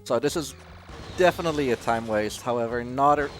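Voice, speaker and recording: A man giving casual live commentary on his own speedrun, explaining in a halting way and often interrupting himself. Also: medium pitch. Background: video game music.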